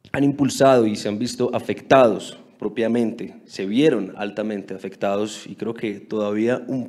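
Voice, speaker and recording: A man's voice: mid-range voice, warm tone. A man hosting a conference session, reading a formal, prepared introduction of the next speaker. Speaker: event host, moderator. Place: planetarium auditorium.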